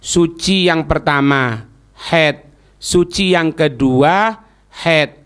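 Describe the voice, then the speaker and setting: Male religious teacher, high voice, Javanese accent, informal lecturing, colloquial and didactic. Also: drawn-out words, fairly bright tone, clean tone. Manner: didactic, often checking listeners understand; colloquial, acting out short example quotes. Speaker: Islamic preacher teaching religious law.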